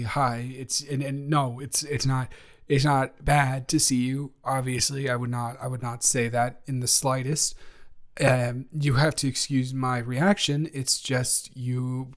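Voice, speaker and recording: A man's voice: mid-range voice, fairly even intonation, unhurried, natural voice. A man playing a flustered, befuddled young guy in an improvised roleplay audio, stammering and rambling as he talks to the listener. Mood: flustered, confused. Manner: stammering, rambling, awkwardly backtracking.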